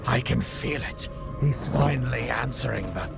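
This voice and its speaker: A man's voice: mid-range voice, moderate pace, gravelly. A man playing a deranged, menacing doctor turned scarecrow cultist in an audio drama, theatrical and sinister with a sing-song delivery. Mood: fervent, unhinged. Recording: loud music over the voice.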